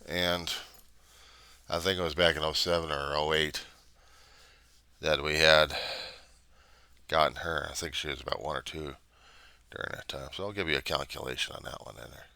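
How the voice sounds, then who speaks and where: A middle-aged American man giving a casual, rambling monologue, halting as he thinks aloud in plain words. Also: rumbling low, steady tone, creaky, pushed and bright, smooth, no echo. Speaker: vlogger.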